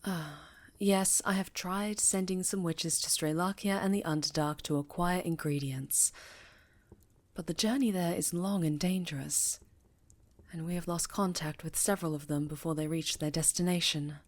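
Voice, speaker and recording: A woman playing a stern, commanding witch who leads a coven, speaking formally and with measured authority in an ASMR fantasy roleplay. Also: fairly low pitch, steady tone, natural voice. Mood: grave, measured. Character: stern, commanding female high enchantress leading a witch coven.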